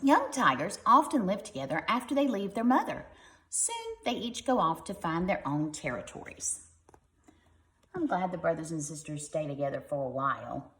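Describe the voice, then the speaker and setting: A woman's voice: on the low side, animated, normal speed, a bit bright, echoey room. A woman reading a picture book aloud to young children like a teacher, expressively and with simple explanatory asides.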